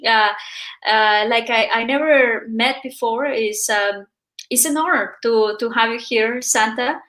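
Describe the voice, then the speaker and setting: Halting higher-voiced woman host. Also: a bit bright. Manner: halting, many fillers, admiring. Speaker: talk show host. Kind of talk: interview questions.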